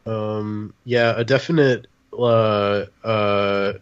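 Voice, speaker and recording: A man chatting casually and jokingly about films as a podcast guest, in a halting, hesitant way. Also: lowish voice, fairly even intonation, clear, resonant tone, highs cut off. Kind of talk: casual joking film chat.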